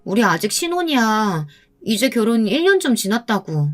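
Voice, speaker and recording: A woman's voice: fairly high pitch, sing-song, normal speed, faint music behind. A woman voicing an earnest young newlywed wife in a dramatized audio story. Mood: worried, frustrated. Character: worried, frustrated young newlywed wife, polite to strangers.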